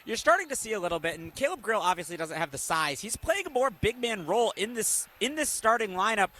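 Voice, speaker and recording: A fast-talking man with a high voice provides basketball color commentary. He slots his analysis in between plays of a live broadcast. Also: sing-song, pushed and bright.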